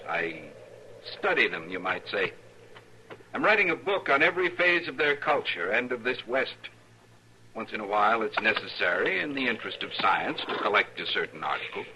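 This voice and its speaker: An actor playing a prim, formal eastern scholar in a 1950s radio western. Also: male voice, fairly low pitch, very animated, moderate pace, bright, forceful tone, a little hiss or hum, exaggerated voice, low-quality recording. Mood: polite. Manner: overly polite, formal, fussy. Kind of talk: 1950s radio drama acting.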